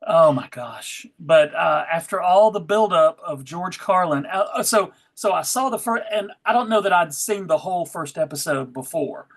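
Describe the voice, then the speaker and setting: High-voiced, halting male panel host. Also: lively intonation. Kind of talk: casual panel chat.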